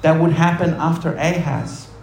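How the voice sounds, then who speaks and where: A man with a high voice preaches a sermon in an echoey church room, with a faint hum of ambience underneath. He poses rhetorical questions to the congregation and alternates between brisk asides and slow, dropped-down phrases for emphasis. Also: steady tone, gravelly. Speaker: pastor.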